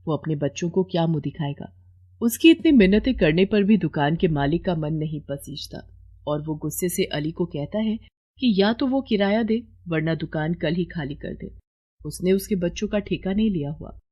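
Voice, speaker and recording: A woman's voice: lowish voice, lively intonation, moderate pace, warm tone, muffled recording. A woman narrating a scripted moral story as storyteller.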